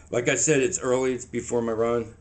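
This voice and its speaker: A man, telling a story to the camera in a rambling, halting way and sounding a little shaky, recorded in a small room on a camera in front of him. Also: mid-range voice. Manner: rambling, halting, animated hand gestures. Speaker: vlogger.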